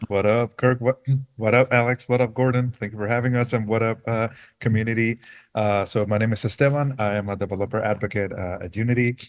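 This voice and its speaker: A man's voice: on the low side, fairly even intonation, brisk. A man introducing himself on a casual video-call panel, laid-back and slangy, often stumbling and repeating words. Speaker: developer advocate, panelist.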